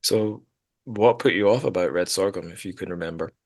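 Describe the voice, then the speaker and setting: A man's voice: low voice, a little fast, a little mellow, no room sound, studio quality. A man hosting a podcast, talking casually about books in a rambling, self-correcting way. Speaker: podcast host. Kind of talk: casual literary discussion.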